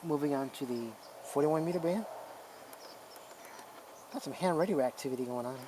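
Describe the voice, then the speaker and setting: A man's voice: fairly high pitch, normal speed. Man casually demonstrating gear, faint background noise. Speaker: shortwave radio hobbyist presenter. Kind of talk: casual hands-on demonstration commentary.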